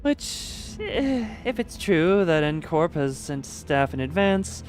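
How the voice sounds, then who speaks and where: A man's voice: on the high side, big pitch swings, slow pace. Guy streaming a game, chats n reads dialogue out, wanders off topic, faint music behind. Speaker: livestream host playing a game. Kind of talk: casual livestream commentary, reading game dialogue aloud.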